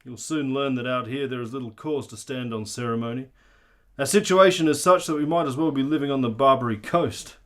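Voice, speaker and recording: A man's voice: mid-range voice, fairly quick, a bit bright, close to an everyday voice. A man playing a world-weary, frank army colonel in a radio play, authoritative and wry. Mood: wry, commanding. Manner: authoritative, wry, informal candour.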